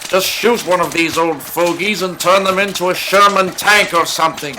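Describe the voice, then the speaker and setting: A man's voice: high-pitched, moderate pace, noisy background, natural voice. A man acting a panicky, sarcastic, complaining character in an audio comedy drama, with a whiny, exclaiming delivery. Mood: exasperated. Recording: music behind the voice.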